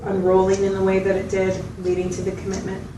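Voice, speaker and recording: A woman's voice: medium pitch, monotone, fast, close to an everyday voice. A woman playing a supportive mental health clinician, explaining and reflecting back in a conversational clinical interview. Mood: supportive, explanatory. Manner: reflective, explanatory, checking in with the listener. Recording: distant mic, roomy echo, loud background noise.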